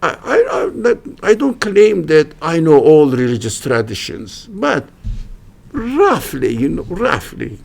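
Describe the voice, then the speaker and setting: A man with a Turkish accent, a native Turkish speaker, giving an informal religious studies lecture in halting English, restarting phrases and making wry jokes at his own expense. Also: fairly high pitch, wildly swooping pitch, gravelly, a little mellow. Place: lecture room at a university religious center.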